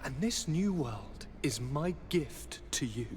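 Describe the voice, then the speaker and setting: A man's voice: a little high, animated, takes it slowly, slight background noise. An actor playing a zealous, power-hungry young nobleman in a video game, theatrical and impassioned. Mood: grandiose, fervent. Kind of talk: dramatic video game cutscene acting. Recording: long hall reverb, faint ambience.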